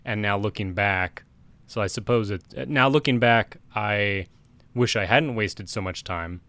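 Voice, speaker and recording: Wry low-voiced man giving advice. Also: a bit bright, no echo. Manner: measured, wry, offers advice. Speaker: podcast co-host. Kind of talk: casual podcast chat.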